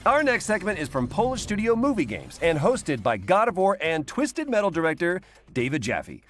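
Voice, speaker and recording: Guy hosting a games show, super lively up and down voice, slightly high, scripted links. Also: normal speed. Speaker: showcase host.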